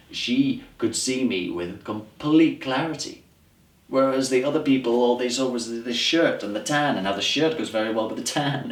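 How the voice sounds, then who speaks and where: A very low-voiced, disillusioned man played by a male actor muses his way through a stage monologue. He is upset and exasperated, halting and then pressing on words for emphasis. The sound is roomy and distant from the microphone. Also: lightly acted. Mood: agitated, bemused. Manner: halting, emphatic, repeats words, laughs ruefully. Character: upset, disillusioned New York theatre man, musing and exasperated. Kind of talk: dramatic audition monologue, conversational stage acting.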